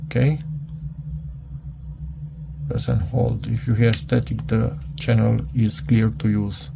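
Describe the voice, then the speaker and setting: A man thinking aloud in a casual, unscripted hands-on product review, halting and muttering to himself as he goes. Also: fairly low pitch, level tone, creaky, background noise.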